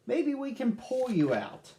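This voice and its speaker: A man voicing an earnest young boy, the owner of a dog, in a storybook read-aloud, speaking high and emphatic. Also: huge pitch swings, measured pace, warm tone, heavily acted. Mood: hopeful.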